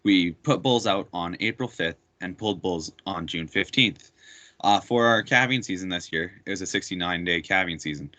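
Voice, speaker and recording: Deep-voiced young man reporting figures. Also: normal speed, sharp tone, dry recording. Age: young adult. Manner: matter-of-fact, corrects himself. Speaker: student presenter. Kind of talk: prepared class presentation.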